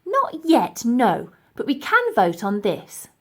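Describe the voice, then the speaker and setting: Plucky young storybook girl, voiced by a woman: kind, earnest, determined, expressive pitch. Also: slow pace, full character voice.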